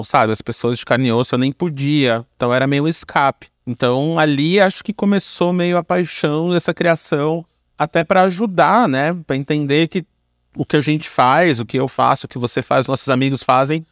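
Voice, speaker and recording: A Brazilian man in his thirties or early forties chats as a podcast guest. His voice is fairly high for a man and recorded very dry. He explains things in an informal, storytelling way, dropping in little tag words. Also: dry recording. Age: 30s to early 40s. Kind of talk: casual conversational storytelling.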